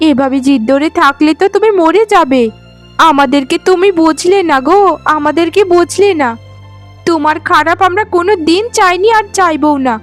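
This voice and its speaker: A woman's voice: very high-pitched, moderate pace, faint music behind. A woman voicing a caring, worried elder co-wife in a cartoon melodrama, speaking in a sisterly, earnest way. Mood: worried, coaxing.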